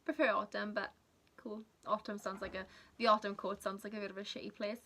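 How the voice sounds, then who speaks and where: A woman's voice: mid-range voice, level tone, brisk, pushed and bright, a little hiss or hum. A young woman from Wales with a Welsh accent, whose first language is Welsh, chatting casually in a rambling vlog with giggly asides. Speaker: vlogger.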